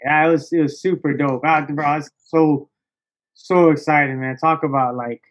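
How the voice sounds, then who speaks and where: Male podcast guest, higher-pitched, excitable casual storyteller, slangy and sweary, slightly lo-fi recording. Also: normal speed. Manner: slangy, excitable, profanity-laced, peppered with "bro" and "dog".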